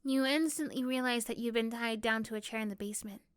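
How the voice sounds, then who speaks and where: A woman's voice: a little high, fast talker, smooth, dry recording, studio quality. A woman reading scripted second-person story narration for a roleplay. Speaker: narrator and performer.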